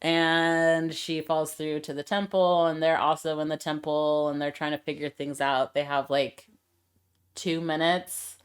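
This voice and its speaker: Low-voiced woman recapping a film. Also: measured pace, smooth. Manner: retells scenes with lively quoted lines. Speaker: podcast co-host. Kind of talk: casual chat recapping a film.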